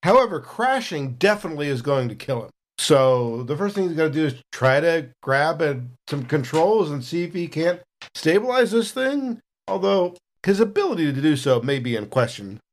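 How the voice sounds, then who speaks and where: A man talks as a player in a tabletop game, describing what his character does. His voice sits a little high and swings widely in pitch. He delivers it all with wry, dry understatement. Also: sing-song, moderate pace. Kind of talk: narrating his character's actions in third person.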